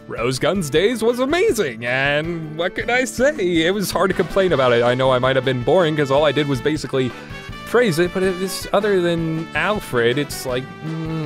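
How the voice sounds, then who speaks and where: Guy doing a solo review podcast, gets all worked up and excited, guitar music going in the background. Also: on the high side. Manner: enthusiastic, animated when excited. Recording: music playing underneath.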